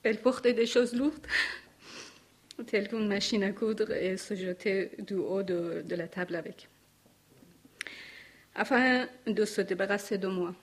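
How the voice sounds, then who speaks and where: A woman in her forties from Iran with a Persian accent, reading her testimony from notes at a church gathering in a careful, measured way with pauses. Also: medium pitch. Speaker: speaker giving testimony.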